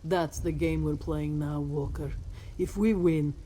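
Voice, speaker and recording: An actress playing a fierce, battle-hardened cat-folk fighter in a fantasy video game, with cool, deliberate, menacing swagger. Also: female voice, deep voice, fairly even intonation, dark tone, a little hiss or hum. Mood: grave. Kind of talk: video game voice acting, dramatic fantasy dialogue. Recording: game audio with faint background ambience.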